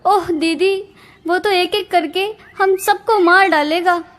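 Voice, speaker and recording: A woman's voice: extremely high pitch, fairly even intonation, slow, fairly bright tone, heavily acted. A woman playing an anxious young village wife in a dramatized horror story, with a plaintive rustic manner. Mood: fearful.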